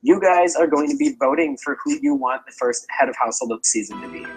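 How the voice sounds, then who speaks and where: A man hosting an online game, explaining the rules in a relaxed way with lots of fillers. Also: on the high side, fast, vocal fry, husky, faint music behind, low-quality recording. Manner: chatty, filler-heavy. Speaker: game host.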